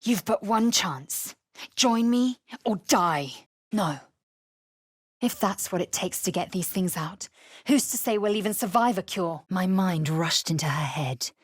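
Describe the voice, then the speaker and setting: Video game alien warrior woman, haughty, disdainful, slow measured delivery, breathy rasp, dry close sound. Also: lowish voice, lively intonation, no echo. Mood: commanding. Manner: imperious, clipped, disdainful. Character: fierce, proud alien githyanki warrior woman, haughty and blunt.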